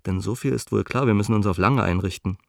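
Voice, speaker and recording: A man's voice: on the low side, fast talker, gravelly, no room sound, clean studio sound. An actor in a radio play as an earnest, cautious dissident intellectual, reading his letter in a reflective, articulate, argumentative way. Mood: earnest, reasoning.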